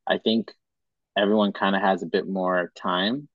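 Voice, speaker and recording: A young man from Madagascar hosts a casual podcast chat. His voice sits fairly low, and he talks in a relaxed, easygoing way, restarting sentences as he goes. The recording is dry and a little dull. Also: moderate pace, dry recording. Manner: relaxed, says bro and dope a lot, thinks aloud with restarts. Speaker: podcast host.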